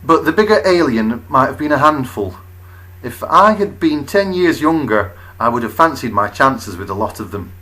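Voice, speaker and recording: Bright-voiced man telling a wry comic story. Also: medium pitch, very animated, moderate pace, low-quality recording. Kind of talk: dramatic comic first-person story narration.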